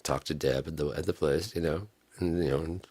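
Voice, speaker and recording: A man's voice: a bass voice, fairly even intonation, moderate pace, darkish tone, breathy edge. A man tells a rambling, profane story, laughing often. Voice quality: raggedy voice from smoking and screaming. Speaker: vlogger telling a story. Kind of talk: casual storytelling monologue.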